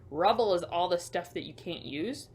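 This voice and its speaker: A woman hosting a children's storytime, reading picture books aloud with emphatic delivery and hushed asides. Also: lowish voice, expressive, normal speed, slight background noise. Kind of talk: picture book read-aloud for young children.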